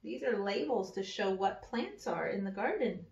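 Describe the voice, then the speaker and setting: A woman in her thirties reading a children's picture book aloud like an early education teacher, with simple, deliberate phrasing and little asides. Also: medium pitch, lively intonation, gravelly, a little mellow, a little hiss or hum. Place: indoor room with wood-paneled wall. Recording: echoey room, slightly dull sound.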